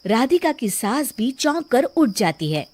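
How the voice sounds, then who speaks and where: High-pitched woman narrating a story. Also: very animated, a little slow, a little mellow, breathy edge. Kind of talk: scripted children's story narration.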